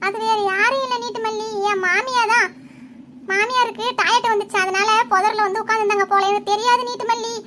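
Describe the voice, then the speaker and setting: A woman's voice: very high-pitched, fairly even intonation, fast talker, sharp tone, slight background noise. A woman voicing a quarrelsome, tearful village housewife in a Tamil cartoon, complaining and accusing as she tells her story. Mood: recounting.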